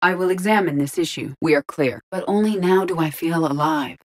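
A woman's voice: on the low side, fairly quick, lightly acted. A woman playing a logical, composed starship AI in an android body, speaking scripted game lines with precise, formal diction. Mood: composed, analytical. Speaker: video game character voice actor.

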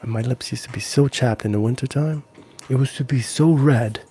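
A middle-aged man casually chatting with viewers on an ASMR live stream, drifting between soft murmured talk and whispers close to the mic. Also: medium pitch, moderate pace, slightly rough. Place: small indoor gaming room. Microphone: handheld-style recorder mic close to the mouth.

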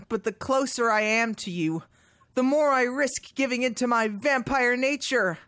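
A woman's voice: on the high side, measured pace, fairly bright tone. A woman voicing a lovestruck, panicky male cartoon duck vampire with exaggerated, wailing delivery. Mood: anguished. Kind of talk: comic dub voice acting.

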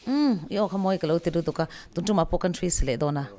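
Low-voiced woman interviewer. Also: lively intonation, fast, warm tone, a little hiss or hum. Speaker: talent show host. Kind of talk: backstage contestant interview. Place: indoor backstage interview area. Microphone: shared handheld microphone.

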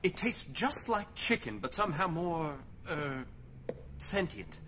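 A decadent, pompous male politician for a video game cutscene. His voice sits a little high and swings theatrically up and down, with a bright, forceful edge. He is effusive and eccentric, savouring his own words. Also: sing-song, unhurried, a little hiss or hum. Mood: gushing, smug.

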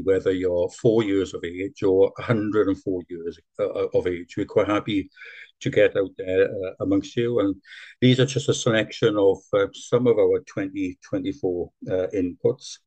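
Low-voiced Welsh man presenting. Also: steady tone, moderate pace, dark tone, dull audio. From South Wales. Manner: hesitant, many fillers and restarts. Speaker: seal conservation volunteer presenter. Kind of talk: online meeting presentation. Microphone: video call.

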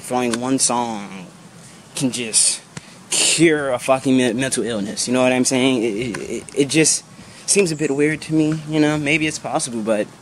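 A man's voice: mid-range voice, slight background noise. A young man in his twenties with a sick, slightly off voice, ranting casually to his vlog camera with lots of "you know" and "bro" and free swearing, filmed outdoors with a handheld camera. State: sick, voice a bit off. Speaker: vlogger. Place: outdoors under trees by a brick building. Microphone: handheld camera held low at arm's length.

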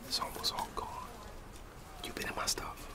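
A man's voice: normal speed, far from the mic. A middle-aged American man in a whispered ASMR roleplay, confiding like a disgruntled coworker in colloquial speech. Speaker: ASMR roleplayer playing a gossiping office employee.

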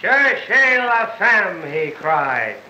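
High-voiced, brash old film newspaperman. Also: big pitch swings, fairly quick, sharp tone, exaggerated voice. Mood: brash, flattering. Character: brash, flattering male newspaper reporter. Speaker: actor playing a newspaper reporter. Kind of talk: old film acting, wisecracking. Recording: dull, roomy old film soundtrack, faint noise.

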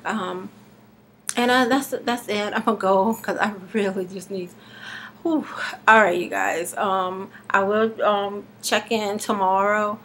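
A woman's voice: medium pitch, fairly even intonation, a bit bright, slight background noise. Congested woman talking to her webcam. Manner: halting, many fillers and restarts. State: congested and slightly hoarse. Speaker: vlogger. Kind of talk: casual video diary. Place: living room at home. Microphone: webcam at arm's length.

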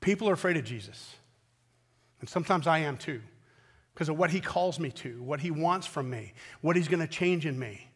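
An American man preaches from a church stage in a somewhat high-pitched voice. He is a pastor who speaks earnestly and with emphasis, repeating phrases and pausing deliberately between them. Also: expressive, slightly rough, big-room sound. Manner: earnest, emphatic delivery with deliberate pauses and repetition. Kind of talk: sermon. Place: large indoor church auditorium stage at a wooden pulpit.

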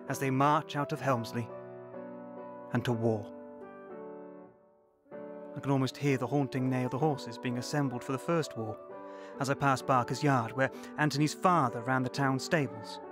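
A man's voice: medium pitch, fairly even intonation, moderate pace, lightly acted. An actor in his sixties narrating as an older man recalling his wartime boyhood, reflective and wistful. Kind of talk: scripted narration. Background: music.

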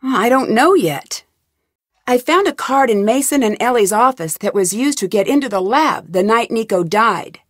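A young woman detective in a game, polite and curious, presses people with earnest questions. Her voice sits a little high. The studio recording is dry and close, with no room sound. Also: expressive, moderate pace, no echo. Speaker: voice actor. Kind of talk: game voice lines. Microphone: studio mic, dry.

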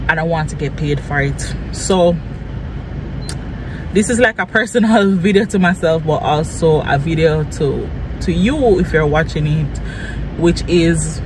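A man talking casually and earnestly in a motivational vlog, repeating phrases for emphasis. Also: a little high. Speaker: small business vlogger and design trainer. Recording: clear vehicle and outdoor noise.

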